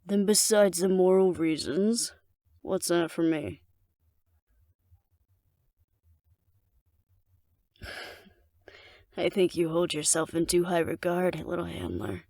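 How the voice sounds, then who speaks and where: Low, gravelly, cocky werewolf woman, acted out by a woman. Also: lively intonation, a little slow, warm tone, dry recording, studio quality. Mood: skeptical, wry, then teasing, flirtatious. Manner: wry, teasing, confident, sighing. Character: cocky, flirtatious female alpha werewolf, street-hardened and teasing. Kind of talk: intimate audio roleplay acting addressed to the listener.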